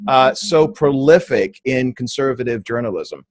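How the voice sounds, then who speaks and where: A man's voice: medium pitch, expressive, measured pace, dry recording. A man from England hosting a political podcast, asking long, rambling conversational questions. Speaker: podcast host.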